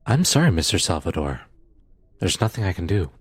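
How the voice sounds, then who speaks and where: A man playing a firm, matter-of-fact police detective in an audio roleplay drama, with a measured, official manner and a husky voice. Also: sits low, very animated, dry recording, exaggerated voice. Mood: firm, regretful.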